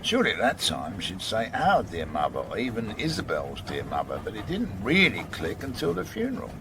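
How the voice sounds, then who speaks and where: An actor playing a gruff, sardonic older chief inspector with a British accent in a radio drama, talking in a blunt, patronising way. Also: male voice, deep voice, wildly swooping pitch, brisk, noisy background, exaggerated voice. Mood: satisfied, musing.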